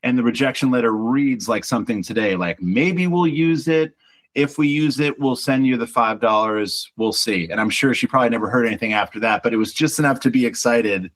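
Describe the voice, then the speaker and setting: A man with a baritone voice talking about his band's album in a casual interview, reflective and chatty, often restarting with small repeats. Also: expressive, fairly quick, a bit bright. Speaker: band singer and songwriter.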